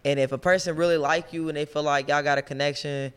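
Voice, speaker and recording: A woman with a deep voice, co-hosting a podcast in relaxed, slangy casual chat. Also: fast, clean tone. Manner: laid-back slangy talk, thoughtful asides. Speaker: podcast co-host.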